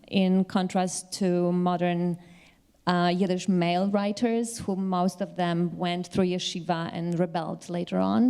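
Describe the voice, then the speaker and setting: Clear, even-toned woman in a reverberant hall. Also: lowish voice. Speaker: scholar on a panel. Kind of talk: academic panel discussion. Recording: echoey hall, long reverb.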